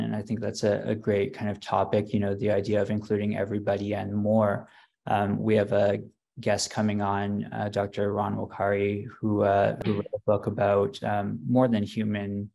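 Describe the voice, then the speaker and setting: A man hosting an interview podcast, asking questions in a casual, hesitant way with pauses between phrases. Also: on the low side, creaky, darkish tone.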